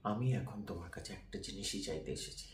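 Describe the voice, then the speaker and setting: A man performing a slow, pleading dramatic monologue as an amateur actor, with halting delivery and heavy pauses. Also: low voice. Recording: roomy echo, faint background noise.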